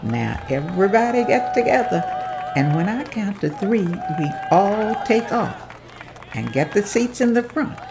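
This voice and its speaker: A woman reading the part of a determined community leader in an amateur table read, earnest and steady. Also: medium pitch, measured pace, crowd noise, noisy background. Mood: rallying.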